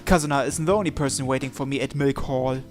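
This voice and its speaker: A person reading a visual novel aloud as a gamer, narration and dialogue alike, with game music behind. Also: female voice, extremely low pitch, quick pace.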